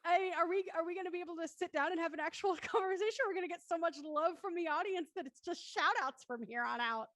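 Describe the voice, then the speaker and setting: A woman's voice: extremely high pitch, fast talker, few pauses, fairly bright tone, no room sound, clean studio sound. A woman hosting a casual live online discussion, talking in an enthusiastic, wordy way as she thinks aloud. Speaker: host of a live web show after-talk. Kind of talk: casual chat, moderating a discussion.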